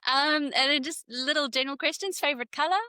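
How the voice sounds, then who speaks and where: A woman's voice: high voice, fast talker, sharp tone, no echo, clean studio sound. A woman hosting a question-and-answer interview, friendly and working through prepared questions. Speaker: interviewer host.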